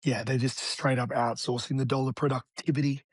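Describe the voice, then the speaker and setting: A man, a real estate agent talking as an interview guest in an energetic, blunt, casual way. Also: medium pitch, brisk, no room sound. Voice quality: raspy.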